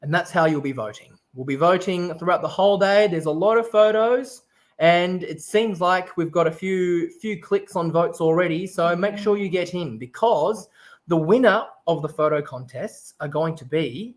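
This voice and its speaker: A man's voice: high-pitched, normal speed. A man raised in Japan, casually hosting an online festival stream and explaining things step by step in an upbeat way.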